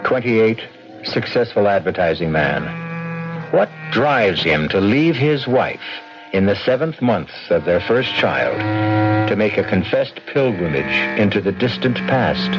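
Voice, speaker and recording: An actor playing an ominous mystery host, narrating theatrically in old-time radio style with probing rhetoric. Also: male voice, lowish voice. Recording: dull vintage radio, loud music behind.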